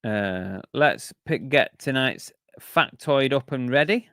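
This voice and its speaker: A man hosting a relaxed live stream, chatting loosely with lots of ums and restarts. His voice sits at an ordinary middle pitch and is wearing thin, nearly gone. The sound is dry and close, with no room echo. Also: slow. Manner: chatty, rambling, with many fillers and restarts. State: losing his voice. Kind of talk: casual live stream chat.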